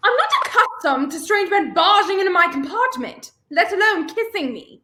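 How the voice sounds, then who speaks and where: Actress as high-voiced, prim young lady. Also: expressive, normal speed, bright, forceful tone, slight background noise, full character voice. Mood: indignant. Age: 20s. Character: prim, haughty young lady, guarded but softening. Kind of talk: 1930s radio play acting.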